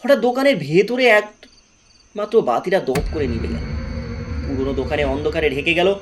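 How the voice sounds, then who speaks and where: Guy narrating a scary story, high voice, swoops around a lot, music under it. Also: expressive, a little fast. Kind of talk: dramatic horror story narration.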